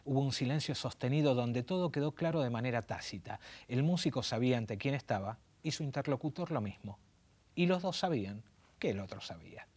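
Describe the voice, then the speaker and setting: A man in his forties with an Argentine Spanish accent, hosting and reading a story aloud in an intimate, theatrical way with slow, dramatic pauses. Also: medium pitch, animated, darkish tone, breathy edge. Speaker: host and narrator. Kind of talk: late-night story reading. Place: small dim studio set.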